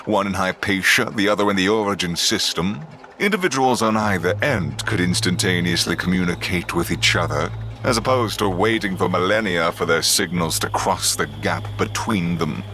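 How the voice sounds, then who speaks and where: An actor narrating a sci-fi audio drama as a grave chronicler, measured, solemn and dramatic. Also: male voice, sits low, bright, forceful tone. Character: grave male chronicler of a space expedition. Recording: prominent music under the voice. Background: music.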